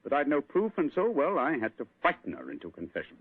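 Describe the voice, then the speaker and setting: An actor playing an assured gentleman detective explaining his deductions in an old radio drama. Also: mid-range voice, wildly swooping pitch, quick pace, breathy edge, dry recording. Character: calm, clever gentleman detective. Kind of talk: 1940s radio acting. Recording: tinny old radio broadcast.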